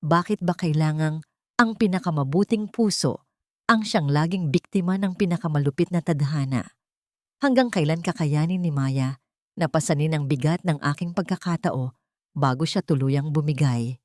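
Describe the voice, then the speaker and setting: Low-pitched woman narrating a dramatic story. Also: moderate pace, a little mellow, no room sound, studio quality.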